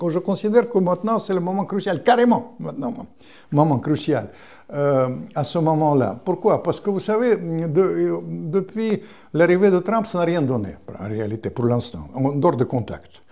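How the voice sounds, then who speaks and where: A man's voice: fairly high pitch, animated, normal speed, warm tone. An older man with Russian-Ukrainian roots, a guest author speaking in an interview, rambling with many restarts and fillers.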